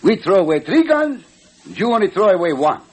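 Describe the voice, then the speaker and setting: Jolly Mexican sidekick, high husky voice, lively swoops, comic broken English, old radio western. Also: male voice, fairly quick, full character voice. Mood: wheedling, comic. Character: jolly, food-loving Mexican cowboy sidekick.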